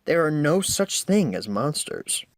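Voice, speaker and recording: A man's voice: on the high side, sing-song, unhurried, no echo, pitched above the performer's own voice. A man voicing a boyish, easily frightened little tank engine in a children's story, flustered and yelping. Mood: dismissive.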